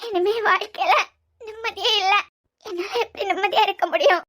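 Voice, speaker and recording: A woman's voice: very high voice, fairly even intonation, moderate pace. A heartbroken, tearful young woman. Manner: soft, tearful, broken phrases. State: crying. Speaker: heroine in a TV serial. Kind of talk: TV serial acting.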